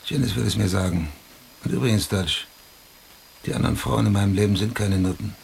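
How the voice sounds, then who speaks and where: A driven police detective in a German radio drama. His voice is low and gravelly. He speaks in terse, stubborn, hard-boiled phrases over faint background ambience. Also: brisk, darkish tone, slight background noise. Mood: defiant.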